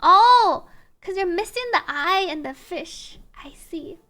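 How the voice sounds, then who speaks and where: A woman's voice: very high voice, lively intonation, slow, bright, forceful tone. A woman livestreaming a video game, chatting casually with viewers and reading on-screen text aloud over game music.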